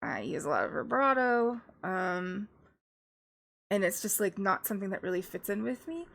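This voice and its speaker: A woman's voice: mid-range voice, animated, normal speed, gravelly, fairly bright tone. A woman streamer chatting casually as she reacts to songs, rambling with lots of fillers and small laughs. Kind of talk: casual live-stream commentary.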